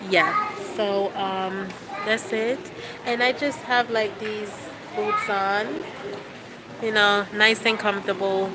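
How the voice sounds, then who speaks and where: A woman's voice: mid-range voice, slow pace, very noisy. Stuffy-nosed young woman chatting on a phone. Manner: chatty, relaxed, with frequent fillers and laughs. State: stuffy from a cold. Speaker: vlogger livestreaming to fans. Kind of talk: casual live chat. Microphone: phone held at arm's length.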